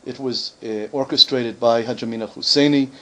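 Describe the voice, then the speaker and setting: A man's voice: mid-range voice, steady tone, moderate pace, bright, forceful tone. A man speaking for a community, answering a visiting group's questions in a conversational way, with many fillers and self-corrections.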